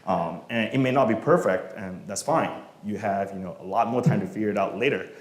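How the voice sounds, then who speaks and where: A man from Malaysia, a software engineer, answering questions at a meetup in a relaxed, casual way with many fillers, often trailing off quietly. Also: on the low side, fairly quick. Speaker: guest speaker, software engineer. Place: meetup event room. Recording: echoey room.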